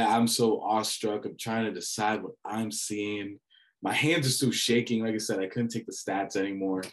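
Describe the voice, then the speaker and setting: A young man, a student sports broadcaster, telling a story in casual conversation, animated and full of restarts and fillers. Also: on the low side. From Dominican family background. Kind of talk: casual reflective chat.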